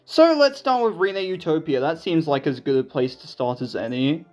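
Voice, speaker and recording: A man's voice: fairly high pitch, lively intonation, normal speed, a little mellow, faint music behind. A man giving casual scripted commentary as a video essayist, with a sarcastic, self-deprecating manner and some swearing.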